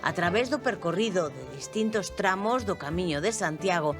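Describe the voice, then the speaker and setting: A woman reporter reading a scripted news report in a measured, formal way. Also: on the low side, expressive. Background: music.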